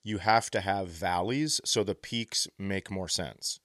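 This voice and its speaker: A man telling casual, animated stories as a comedian on a podcast, often profane and easily amused. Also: low voice, slow, no echo, studio quality. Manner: acts out other people's quotes.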